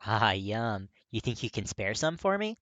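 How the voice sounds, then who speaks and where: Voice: medium pitch, big pitch swings, moderate pace, a bit bright, dry recording. A narrator voicing a friendly, eager young man with a bouncy, casual manner in an audio story. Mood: cheerful, eager.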